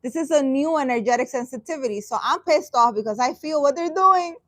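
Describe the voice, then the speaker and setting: Spiritual vlogger, woman, higher register, dry close sound; reads aloud, reacts with sarcastic exasperation, halting and profane. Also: lively intonation, fairly bright tone, no echo. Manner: halting, restarting sentences. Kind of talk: reading a dream interpretation aloud and commenting.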